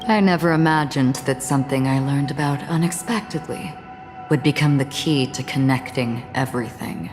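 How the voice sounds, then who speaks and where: A woman voicing a calm, enigmatic, solemn wanderer in a video game cutscene, speaking in a measured, stoic way. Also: a bass voice. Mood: calm, probing. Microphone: close studio voice recording. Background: game music.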